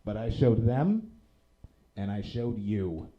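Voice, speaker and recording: A man's voice: takes it slowly, lo-fi sound. A man performing a stand-up comedy set in a small theater, self-deprecating, with a handheld mic and the audience laughing between jokes. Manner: self-deprecating, lively delivery with drops into low deadpan for punchlines. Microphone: handheld microphone. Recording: live club recording with audible background noise.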